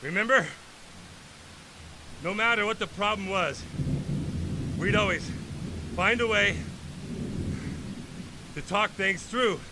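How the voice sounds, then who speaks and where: Guy playing earnest young superhero in an audio drama, highish voice, slow and pleading, noisy storm behind. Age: young adult.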